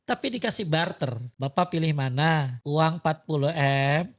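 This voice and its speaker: A man's voice: on the high side, moderate pace. A man with a Jakarta Indonesian accent giving religious advice and telling stories in casual talk, exhorting with rhetorical questions.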